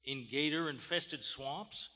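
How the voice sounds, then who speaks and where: A hard-nosed sheriff, acted by a man, folksy and suspicious. He badgers bluntly in a forceful tone that carries across a reverberant theater stage in old radio-drama style. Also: on the high side, takes it slowly. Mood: probing. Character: gruff, suspicious, hard-nosed Southern sheriff in his office. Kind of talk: 1940s radio drama acting. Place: large theater stage, live performance. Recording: live theater stage, long hall reverb.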